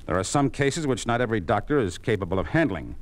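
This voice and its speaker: A man plays a proud, principled surgeon in vintage radio drama style. His voice is deep. He speaks in a measured, formal way and stands firm with indignation. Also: animated, close to an everyday voice. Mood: restrained indignation. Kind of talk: 1950s radio drama acting. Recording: old 1950s radio recording.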